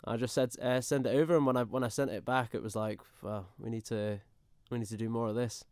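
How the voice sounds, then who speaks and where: A man's voice: medium pitch, fairly even intonation, quick pace, a little mellow, no echo. A young man with a Manx accent, a singer, chatting easily and laughing as a guest in a radio studio. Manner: laughing, easygoing. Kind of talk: casual radio interview.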